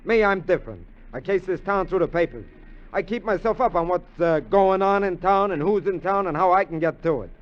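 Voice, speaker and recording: An actor playing an eager, scheming American burglar sidekick in a 1950s radio drama, talkative, slangy and wheedling. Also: male voice, high-pitched, a little fast, natural voice. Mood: excited, persuasive. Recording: dull vintage radio recording.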